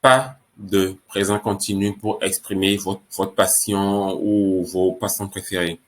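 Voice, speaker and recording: Slow, low-voiced male English teacher. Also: steady tone. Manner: slow, repeats each phrase in French and English. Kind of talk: French-language English lesson.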